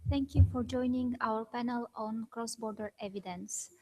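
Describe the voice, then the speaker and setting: A woman moderating a conference panel, opening the session in formal, halting phrases. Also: fairly high pitch, mellow, low-quality recording.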